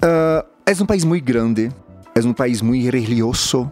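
A man's voice: mid-range voice, slow pace, a little mellow, faint music behind. A Brazilian man who speaks Portuguese natively, giving interview answers in a second language. He talks with passion but often stops to search for words. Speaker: activist guest.

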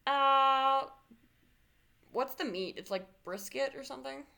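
Playful, blunt woman with a bright voice. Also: sits high, gravelly. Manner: playful, blunt, quick with jokes. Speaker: podcast co-host. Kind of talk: casual chat.

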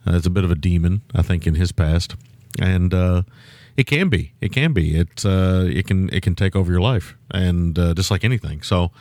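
A man co-hosting a film podcast, talking in a loose, rambling way with lots of fillers and restarts, and a loud voice. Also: low voice, moderate pace, dry recording. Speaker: podcast co-host. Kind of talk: casual film-review chat.

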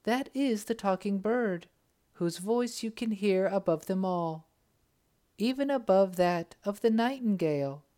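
A gracious young princess, formal and courteous in every phrase, as a storyteller puts her on. Her voice sits higher than the narration and moves with lively rises and falls. She speaks slowly and with care. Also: lightly acted.

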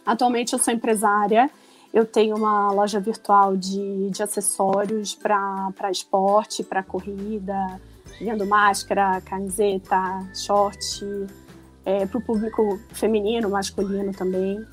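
A woman in her forties from Rio de Janeiro with a Carioca accent, a guest on a casual podcast, chatty and quick to laugh. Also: mid-range voice, level tone, faint music behind. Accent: Carioca Brazilian Portuguese. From Rio de Janeiro, Brazil. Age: 40s. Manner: chatty, laughing easily, many restarts.

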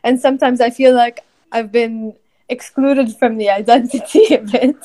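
A young woman from Syria talks in a relaxed group discussion, her voice sitting a touch higher than most. She thinks aloud, and her long sentences trail off, broken by frequent laughs. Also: normal speed. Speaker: discussion panelist.

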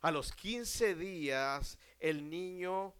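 A man preaching a sermon to a congregation, putting questions to the audience and answering them himself, often dropping to a hush at the end of a phrase. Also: high voice, animated, clean tone. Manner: slow and deliberate, drops to a hush at ends of phrases.

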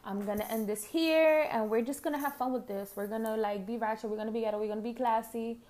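A young woman with a New York accent talks brashly and swears freely as she chats through her own podcast. Her voice sits a little high. It feels like a casual solo recording made at home on the couch. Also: steady tone, fast, a little mellow. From Harlem, New York City. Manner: brash, profane and chatty. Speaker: podcast host.

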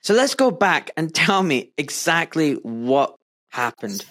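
A man's voice: on the high side, big pitch swings, takes it slowly, fairly bright tone. A man hosting a podcast, encouraging his guest with open questions. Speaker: podcast host. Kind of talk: interview.

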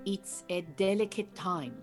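An actress plays a composed, exacting beekeeper, an Iranian refugee woman, in an audio drama. Her voice is a little low, with dry, measured, formal phrasing. There is faint music behind her. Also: natural voice. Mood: cautioning.

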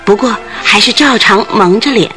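A woman's voice: mid-range voice, normal speed, sharp tone, faint music behind, close to an everyday voice. An actress in a radio drama playing a nervous, talkative landlady who tells things in earnest detail. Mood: frightened.

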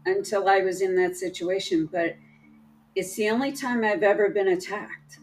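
A woman telling a personal story in casual chat, halting and restarting often, with a trained, projecting voice. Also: mid-range voice, fairly even intonation, slight background noise, poor audio. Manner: slow, halting, many restarts.

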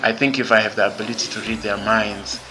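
A man with a Zimbabwean English accent and a fairly low voice talks as a podcast guest. He is outspoken and blunt, and he restarts his sentences often as he thinks aloud. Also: steady tone, fast talker, a loud crowd, poor audio. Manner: many fillers and restarts. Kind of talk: candid interview conversation.

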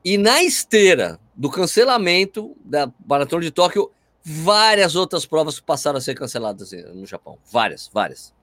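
A Brazilian man with a Brazilian Portuguese accent, hosting a casual chat, animated and opinionated, ranting in slang. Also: on the high side, moderate pace, dry recording, studio quality. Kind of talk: casual chat about running.

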